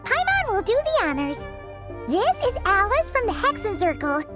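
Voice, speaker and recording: An extremely high, squeaky little fairy chatters like an excitable child. The delivery swings in lively pitch, in video game style, with clear music behind it. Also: female voice, moderate pace. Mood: explaining. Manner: refers to self in third person.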